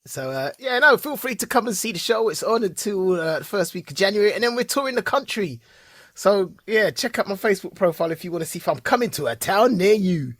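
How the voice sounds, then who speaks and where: A man's voice: high-pitched, a little fast, dry recording, clean studio sound. A man in his forties co-hosting a podcast, telling stories in casual chat and laughing often. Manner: laughs often, animated storytelling. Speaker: podcast co-host.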